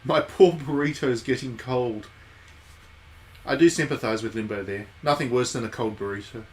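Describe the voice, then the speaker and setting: A man's voice: mid-range voice, normal speed. A man giving casual, wry live commentary as he streams a visual novel. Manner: wry, jokey asides. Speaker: game streamer.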